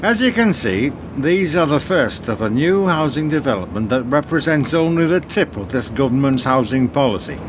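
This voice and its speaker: An actor playing a formal, guarded and evasive government housing minister in a radio play. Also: male voice, mid-range voice, big pitch swings, normal speed, hardly any pauses, close to an everyday voice. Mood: formal welcome. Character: housing minister, stiff evasive politician cornered by reporters. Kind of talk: radio play press event. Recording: noisy outdoor background with traffic.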